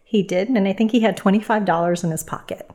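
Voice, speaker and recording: A middle-aged woman from New York, a podcast guest telling family stories in a relaxed, reflective way, full of fillers and restarts. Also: medium pitch, fast. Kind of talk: casual conversational storytelling.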